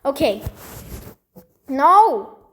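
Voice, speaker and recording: A woman's voice: high voice, very animated, extremely slow, fairly bright tone. A woman giving a casual screen-recording tutorial, muttering to herself in a hesitant, repetitive, easily exasperated way. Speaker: video tutorial creator.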